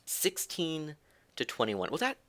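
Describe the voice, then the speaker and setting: A man's voice: a little high, wildly swooping pitch, unhurried, fairly bright tone. A man streaming a game live, chatting with viewers, giggling and teasing as he thinks aloud. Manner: rambling with frequent restarts.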